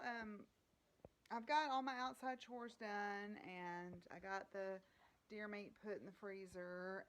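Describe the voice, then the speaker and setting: A woman chatting casually in a home vlog, rambling along with plenty of fillers. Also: lowish voice. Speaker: vlogger.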